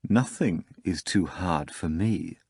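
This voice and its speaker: A man voicing a cold, commanding male spirit of evil who speaks slowly and curtly. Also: deep voice, a little mellow, slightly rough, dry recording, clean studio sound, voice pushed down, exaggerated voice. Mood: imperious. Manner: slow, curt and imperious.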